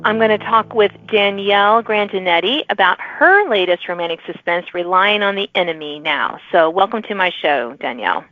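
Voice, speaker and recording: A woman's voice: mid-range voice, lively intonation, normal speed, few pauses, dry recording, narrow, tinny audio. A woman hosting a conversational podcast interview with authors. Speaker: podcast host.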